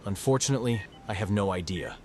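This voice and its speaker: A man's voice: on the low side, lively intonation, fast talker, darkish tone, slight background noise, natural voice. A man playing a shaken young medical student, narrating and acting in a horror audio drama in an earnest, somber way. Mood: subdued. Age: young adult.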